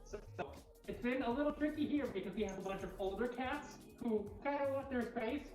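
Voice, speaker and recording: A woman's voice: fairly high pitch, a little fast, darkish tone. A woman casually hosting a livestream walkthrough in an informal way. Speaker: cat cafe host. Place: indoor cat cafe room. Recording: roomy echo, faint music behind. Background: faint music.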